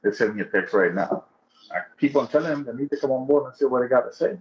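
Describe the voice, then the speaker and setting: A man's voice: mid-range voice, animated, quick pace. A man from Trinidad giving a casual, slangy, chatty account as a guest caller. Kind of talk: casual account of personal experience. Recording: dull, lo-fi call audio.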